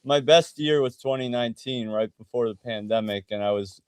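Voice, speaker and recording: A man's voice: medium pitch, brisk, over a noisy crowd. A man speaking as a panel guest on an online call, hesitant and often restarting his sentences.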